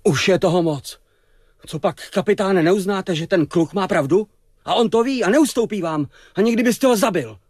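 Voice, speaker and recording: A man's voice: high voice, lively intonation, darkish tone, no echo, studio quality. A man playing a brave, loyal young sailor in a dramatised story, firm and protective in manner. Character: brave, loyal young male sailor defending his friend.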